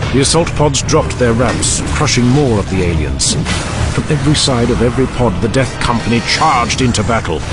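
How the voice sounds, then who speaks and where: A man narrating a scripted audio drama in a theatrical, emphatic, intense manner. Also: mid-range voice.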